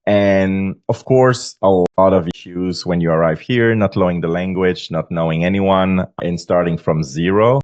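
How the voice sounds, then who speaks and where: A man in his forties from Belgium, with a Belgian accent, talking earnestly as a podcast guest and pausing on many fillers. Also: low voice, fairly even intonation, a little mellow, no room sound, dull audio. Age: 40s. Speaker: guest author. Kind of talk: podcast interview.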